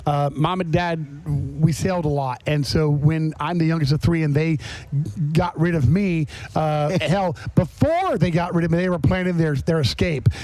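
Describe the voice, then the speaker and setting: Middle-aged man, American accent, slightly high voice, dry close-miked sound, anecdotal, emphatic, casual podcast host. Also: normal speed, darkish tone, no room sound, low-quality recording. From Florida, US. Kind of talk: casual chat interview. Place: on location at a garage.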